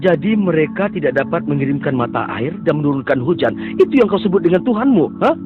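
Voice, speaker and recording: A man voices a sneering idol worshipper in a cartoon. The audio is thin and phone-like, with music loud behind him. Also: a little high, big pitch swings, quick pace.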